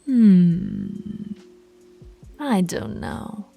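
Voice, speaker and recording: A woman's voice: lowish voice, huge pitch swings, very slow, creaky, warm tone, breathy edge, faint music behind, heavily acted. A woman voicing a dominant female werewolf pack alpha in an audio roleplay, teasing and commanding, often dropping to a whisper. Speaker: voice actress.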